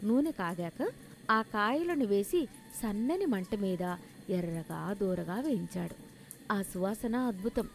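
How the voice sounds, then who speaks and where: A woman's voice: medium pitch, expressive, slow, gravelly, soft background music. A woman narrating a scripted moral story as a storyteller.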